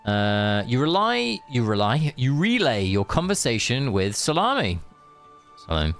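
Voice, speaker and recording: A man's voice: mid-range voice, wildly swooping pitch, measured pace, fairly bright tone. Young guy streaming a game, chatty and rambly, bouncy voice, bit of game music under. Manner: rambling, loose, with fillers. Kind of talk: casual gameplay commentary.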